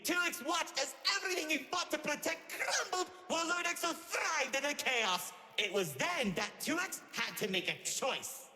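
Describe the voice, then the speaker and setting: A man's voice: very high voice, animated, moderate pace, few pauses, sharp tone, exaggerated voice. A man playing a gleeful, theatrical villain in a video game, mockingly narrating with relish for chaos and carnage. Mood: awed, exultant. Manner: theatrical, mocking, savouring grim details. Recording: long echoing hall reverb with music.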